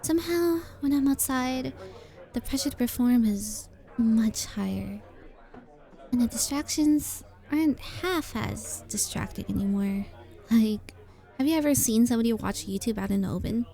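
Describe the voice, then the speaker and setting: Shy young female cafe regular, played by a woman for ASMR roleplay: gentle, earnest, hesitant, higher-pitched with a warm, relaxed tone. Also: normal speed, gravelly. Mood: earnest, chatty. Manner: shy, hesitant, softly earnest, sometimes dropping to a whisper. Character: very shy, sweet young female coffee shop regular who writes.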